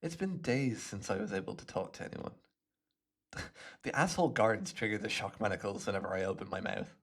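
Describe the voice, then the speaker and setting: A man's voice: fairly low pitch, slightly rough. A man acting out a smug, chatty imprisoned supervillain with hypnotic telepathy, musing playfully to the listener in an ASMR roleplay. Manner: playful, smug, unhurried musing with little chuckles.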